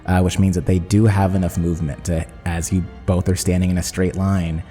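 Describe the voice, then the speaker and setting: A man's voice: low voice, flat delivery, brisk, warm tone, soft background music. A man narrating a tabletop roleplaying game as game master, describing the scene dramatically.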